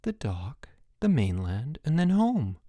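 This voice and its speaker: A man voicing a calm, poker-faced teenage boy camper who speaks in a deadpan way, recorded dry and close. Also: mid-range voice, slow, no echo, voice pushed up, full character voice.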